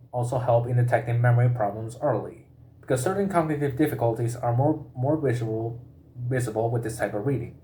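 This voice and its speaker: A man reads a prepared informational text aloud in a halting way, often breaking off in the middle of words and repeating them. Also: mid-range voice, mellow, a little hiss or hum.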